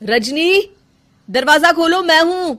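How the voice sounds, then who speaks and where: A woman's voice: extremely high pitch, animated, slow pace, pushed and bright, pitched above the performer's own voice, full character voice. Lady doing young husband in a story, earnest, asks stuff with real swingy intonation. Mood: stern, concerned. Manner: earnest, questioning. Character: caring young husband, earnest, puzzled by his wife.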